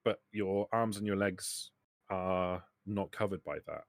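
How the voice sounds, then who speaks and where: A man acting as game master, narrating and bargaining in a halting way that repeats words. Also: low-pitched, no echo, clean studio sound. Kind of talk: improvised tabletop game narration and negotiation in a trader's role.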